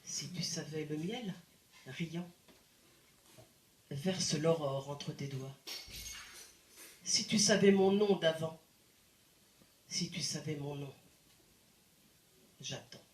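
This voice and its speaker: A woman reciting poetry in a deliberate, dramatic manner with expressive phrasing and pauses. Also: low voice, a little hiss or hum. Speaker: poet reciting. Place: indoor live poetry evening venue. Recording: roomy live recital sound. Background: plucked guitar music.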